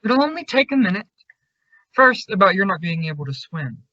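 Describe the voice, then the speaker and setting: A man's voice: sits high, big pitch swings, moderate pace, bright, forceful tone, no echo, full character voice. An actor playing a suspicious, confrontational young man, insistent and accusing, in an old-time radio suspense drama. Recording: dull, lo-fi audio.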